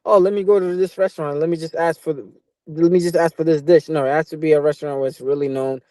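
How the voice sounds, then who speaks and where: A young man from Portugal who moved to the US as a boy, chatting casually as an interview guest, halting and repeating himself with lots of "you know". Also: fairly high pitch, fairly even intonation, warm tone, no echo. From Portugal, moved to the US at twelve. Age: young adult. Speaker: professional boxer, interview guest.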